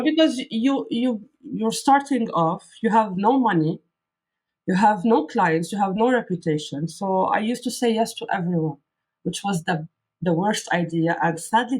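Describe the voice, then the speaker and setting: A woman's voice: on the low side, darkish tone, husky, poor audio. Lebanese-raised woman, arabic first language, candid chatty interview answers, lots of uh's. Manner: earnest, candid, frequent fillers and restarts. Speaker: guest copywriter.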